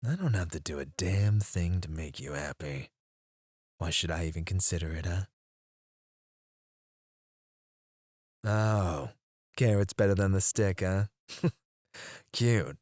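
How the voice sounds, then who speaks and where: A man voicing a menacing male mercenary interrogator in an ASMR roleplay, speaking in a low, taunting way close to the mic, sometimes dropping to a whisper. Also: unhurried, a little mellow, dry recording. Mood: mocking.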